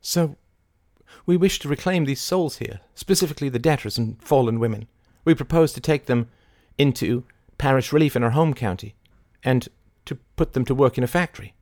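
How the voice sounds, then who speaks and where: A man's voice: mid-range voice, darkish tone, no echo, studio quality, voice pushed up, natural voice. A man voicing an intense, volatile woman, commanding yet fragile, in a dramatic audiobook reading. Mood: businesslike. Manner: dramatic, deliberate, mercurial.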